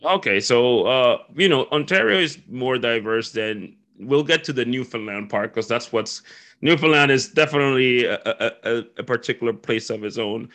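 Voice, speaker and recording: A man hosting a podcast, asking questions casually with quick intros and frequent stumbling restarts. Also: mid-range voice, a bit bright. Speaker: podcast host. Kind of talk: casual interview chat.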